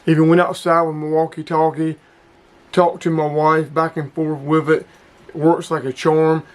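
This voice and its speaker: A man's voice: on the high side, little pitch movement, moderate pace. A middle-aged man with a folksy, plain-spoken manner, hosting a casual hobby review vlog. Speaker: hobbyist video host.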